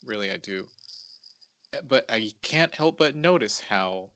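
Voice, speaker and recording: A man in his mid-thirties talking as a podcast co-host in a reflective, confessional way, halting and deliberate, repeating words for emphasis. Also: lowish voice, animated, fairly bright tone, no echo.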